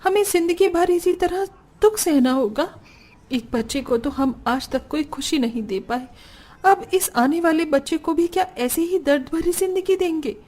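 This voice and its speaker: High-pitched, plaintive poor Indian family woman voiced by actress. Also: measured pace, a little mellow. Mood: anguished. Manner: plaintive, melodramatic. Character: poor, weary, lamenting women of a village family. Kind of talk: dramatic Hindi cartoon story voice acting.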